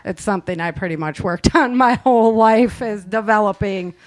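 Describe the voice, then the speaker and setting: A woman's voice: medium pitch, normal speed. A woman hosting a show in casual, conversational interview style. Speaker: show host.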